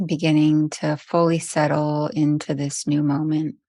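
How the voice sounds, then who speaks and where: Low, slow woman guiding a meditation. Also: level tone, creaky, dry recording, clean studio sound. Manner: gentle, soothing, long unhurried pauses. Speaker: meditation teacher. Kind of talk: guided meditation.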